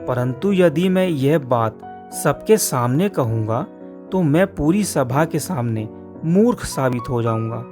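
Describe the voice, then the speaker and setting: A man's voice: medium pitch, animated, measured pace. A man narrating a children's cartoon story in a scripted storybook style. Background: soft guitar music.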